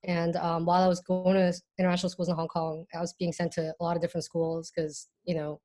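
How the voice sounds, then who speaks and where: Relaxed woman from South Korea. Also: lowish voice, fast talker. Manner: relaxed storytelling with fillers. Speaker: artist guest. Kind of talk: casual panel conversation. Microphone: video call.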